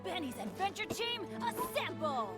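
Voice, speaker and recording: A woman's voice: very high-pitched, very animated, moderate pace. A woman voicing a plucky, unlucky teenage boy adventurer in an anime game. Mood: rallying. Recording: loud game music behind the voice.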